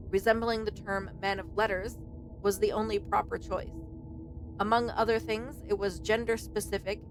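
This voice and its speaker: A woman gives let's play commentary as a streamer, reading on-screen text aloud. Her voice sits at a medium pitch for a woman. There is faint noise in the background. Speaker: streamer playing a game.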